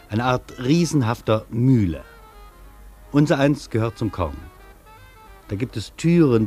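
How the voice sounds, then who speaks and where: Reflective male traveller, literary radio monologue, measured, declamatory, poetic, medium pitch, music underneath. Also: a little mellow, slightly rough. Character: reflective male traveller marvelling at a vast foreign city. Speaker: actor reading a traveller's first-person account in a radio feature.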